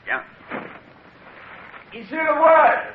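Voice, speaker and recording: An actor playing a blustering middle-aged French mariner with a French accent in a radio drama. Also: male voice, very high voice, lively intonation, slow, heavily acted. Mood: startled, cowed. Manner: scornful, blustering. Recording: muffled noisy radio drama recording.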